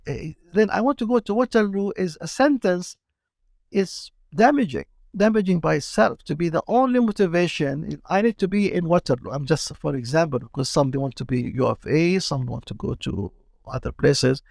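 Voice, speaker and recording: A middle-aged man from Palestine, a teacher speaking as a guest in a casual interview, halting and often repeating his words. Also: on the high side, expressive, gravelly, darkish tone, no echo.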